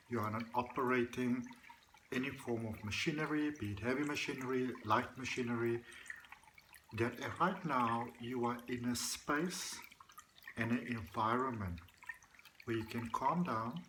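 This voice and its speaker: A man leading a guided meditation, speaking to the listener in a deliberate, soothing way with repeated phrases. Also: medium pitch, background noise. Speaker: guided meditation practitioner and host.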